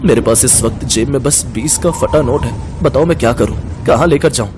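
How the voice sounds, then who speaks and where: A man's voice: medium pitch, fairly quick, noisy background, lightly acted. A man playing a poor, resigned, earnest family father in a cartoon story. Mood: helpless.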